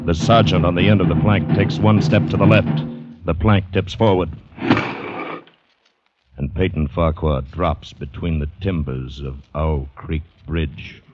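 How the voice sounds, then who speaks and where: A grave storyteller with a deep voice and formal, measured phrasing. It sounds like old-time radio drama, muffled, with prominent music underneath. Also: male voice, steady tone. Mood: grave, tense. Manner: measured, solemn, formal delivery. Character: grave, formal narrator of a military execution. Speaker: narrator.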